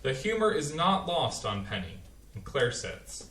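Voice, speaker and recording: A man's voice: fairly high pitch, very animated, measured pace, a bit bright. A man reading the stage directions aloud in a staged play reading. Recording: distant, roomy, faint hiss.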